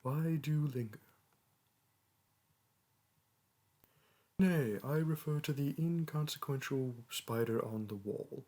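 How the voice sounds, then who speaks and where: A man's voice: mid-range voice, darkish tone, lightly acted. A man playing a condescending young male dragon teacher in a roleplay audio, speaking in archaic, formal and disdainful phrases. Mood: irritated. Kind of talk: roleplay audio monologue to a listener.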